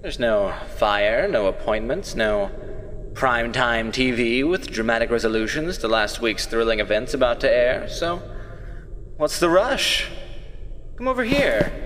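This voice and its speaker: Male actor as taunting, sinister greeter for radio play; theatrical, widely swinging pitch, noisy recording. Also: expressive, fairly bright tone. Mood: mock welcoming. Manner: theatrical, taunting, drawn-out delivery. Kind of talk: amateur radio play acting. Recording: noisy, reverberant amateur recording.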